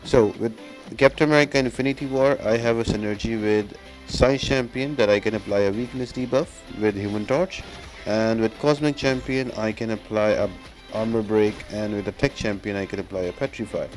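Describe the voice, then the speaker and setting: A man's voice: lowish voice, steady tone, a little fast, faint music behind, rough recording. A man giving casual, instructional commentary as he explains a gameplay walkthrough step by step. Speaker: game guide video host.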